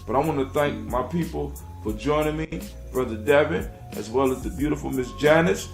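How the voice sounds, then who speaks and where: A man's voice: mid-range voice, normal speed, creaky. A man hosting a radio show in a casual, rambling way, repeating phrases as he finds his words. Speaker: radio show and podcast host. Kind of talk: casual live show chat and sign-off. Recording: roomy echo, faint music.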